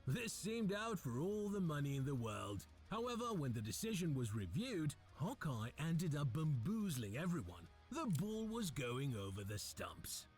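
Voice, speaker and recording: A man reading a scripted countdown narration with a lively, dramatic storytelling delivery. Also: fairly high pitch, a little slow, hardly any pauses, faint music behind. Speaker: narrator of the reacted video.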